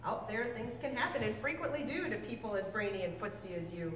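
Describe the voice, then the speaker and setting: A woman reading a children's book aloud to a class, with expressive, deliberate storybook phrasing. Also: a little high. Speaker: teacher reading aloud to sixth grade students. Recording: echoey hall, distant mic, faint noise.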